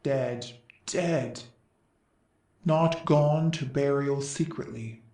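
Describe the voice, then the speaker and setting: A man's voice: medium pitch, drawn-out words, warm tone. A man playing a mournful citizen in a Greek tragedy chorus, speaking solemn, elegiac verse. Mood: grave. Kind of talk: verse stage drama. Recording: echoey room.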